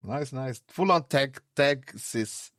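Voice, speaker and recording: A man's voice: medium pitch, slow, a bit bright, dry recording, clean studio sound. A man from Liverpool with a Liverpool accent, co-hosting a podcast in casual chat, playful and jokey. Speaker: podcast co-host.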